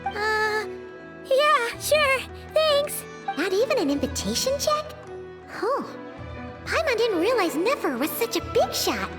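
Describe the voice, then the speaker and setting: Game casting: small blunt fairy mascot, piercingly high childlike squeak, very swoopy delivery, exclamatory. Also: female voice, very animated, slow pace, long pauses, exaggerated voice. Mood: surprised, skeptical. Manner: squeaky, exclamatory, refers to self in third person. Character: tiny childlike floating fairy companion, excitable and blunt. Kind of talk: video game cutscene acting. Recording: background music behind voice.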